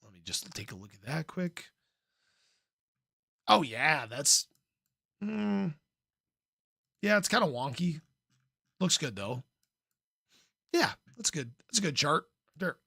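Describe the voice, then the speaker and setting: A man hosting a casual livestream in an informal, playful way, with a slightly hoarse voice and frequent throat clearing. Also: on the high side, very animated, takes it slowly, long pauses, sharp tone, no echo, studio quality. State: slightly hoarse, losing his voice. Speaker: livestream host, crypto commentator. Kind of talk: casual livestream chat, reading and answering viewer comments.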